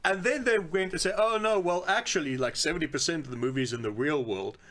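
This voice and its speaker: A man's voice: fairly high pitch, quick pace, a bit bright. A man co-hosting a podcast in casual film talk, acting out reactions and swearing offhand. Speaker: podcast co-host. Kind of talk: casual panel chat about films.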